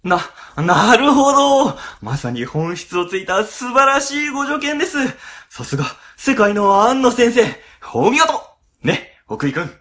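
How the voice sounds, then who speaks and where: A woman playing a sly, cowardly office worker who flatters and schemes in a web radio drama. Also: on the high side, a little slow. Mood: fawning.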